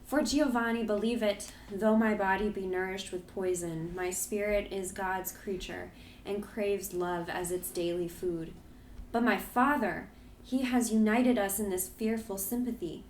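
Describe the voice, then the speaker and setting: A man voicing a gentle, sorrowful young woman in an audiobook reading, pleading softly. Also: high voice, steady tone, measured pace, echoey room, slight background noise, voice pushed up. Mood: anguished. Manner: pleading, soft and tremulous.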